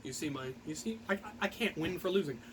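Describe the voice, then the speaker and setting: High, bright-voiced man telling stories theatrically. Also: lively intonation, fast talker, slight background noise. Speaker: storyteller and host. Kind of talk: animated personal anecdote.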